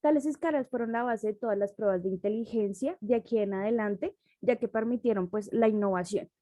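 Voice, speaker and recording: A young woman playing a French psychologist in a classroom role-play, reading her notes with pauses and self-corrections. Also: on the high side, mellow, dry recording, clean studio sound, lightly acted. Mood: matter-of-fact. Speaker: student presenting.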